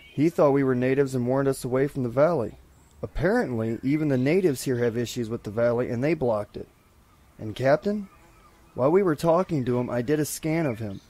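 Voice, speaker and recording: An actor playing a dutiful starship lieutenant, giving respectful, matter-of-fact reports in a sci-fi audio drama. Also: male voice, mid-range voice, darkish tone, natural voice. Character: dutiful male starship lieutenant on an away mission.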